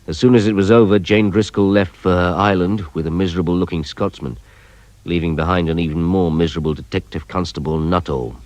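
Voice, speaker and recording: Actor as low-voiced, reflective police inspector narrating. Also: male voice, fairly even intonation, a little fast, darkish tone, no echo, lightly acted. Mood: reflective, sombre. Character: weary, reflective male police inspector narrating his case. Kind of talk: scripted first-person radio drama narration. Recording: noisy radio drama recording, effects behind.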